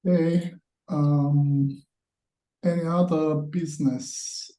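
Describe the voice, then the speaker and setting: A man chairing a work meeting on an online call, speaking haltingly with frequent pauses. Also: fairly high pitch, steady tone, dark tone, roomy sound, poor audio.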